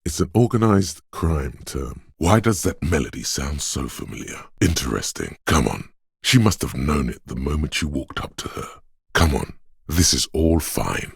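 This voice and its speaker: An actor playing a detective's quick-witted, suspicious inner voice of intuition in a video game, narrating in a measured, knowing, urging manner. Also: male voice, big pitch swings, no room sound, clean studio sound, exaggerated voice. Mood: wry, suspicious. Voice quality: very deep, smooth, resonant. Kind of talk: scripted game narration, second-person inner voice.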